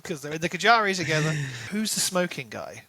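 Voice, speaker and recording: Man, slightly high pitch, sharp bright tone, dry recording, podcast co-host, informal book chat. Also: sing-song, fairly quick, no echo, rough recording. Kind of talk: casual book discussion chat.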